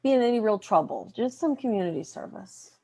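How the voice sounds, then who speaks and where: A woman's voice: medium pitch, expressive, fairly quick, creaky, mellow. An actress playing a firm, sardonic mother and wife, emphatic and wry, in a comedy table read. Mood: stern, wry.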